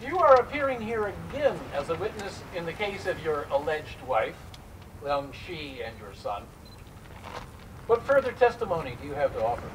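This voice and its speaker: Man acting as a stern, high-pitched male interrogator. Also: big pitch swings, normal speed. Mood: formal, stern. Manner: formal, probing, accusatory. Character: stern, suspicious male immigration interrogator of the 1910s. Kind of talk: dramatic reading of interrogation transcript. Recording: distant microphone in noisy live room.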